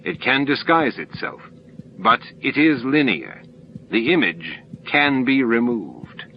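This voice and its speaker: A man voicing cryptic alien entities in an audiobook, solemn and insistent, with deliberate, stilted phrasing and pauses between words. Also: mid-range voice, sing-song, dull audio, a little hiss or hum. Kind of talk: audiobook character dialogue.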